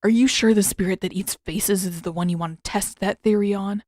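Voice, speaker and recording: A woman's voice: mid-range voice, steady tone, a little fast, a little mellow, dry recording, lightly acted. Teenage prince voiced by a woman, blunt, protective, urgent, dryly understated, fantasy story dialogue, dry close sound. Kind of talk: fantasy audiobook character voice.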